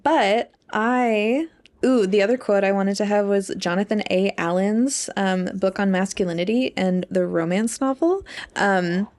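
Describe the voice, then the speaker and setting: A woman's voice: medium pitch, a little slow, darkish tone. A young adult woman co-hosting a podcast in casual chat, rambling and peppering her talk with "like". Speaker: podcast co-host, English PhD student.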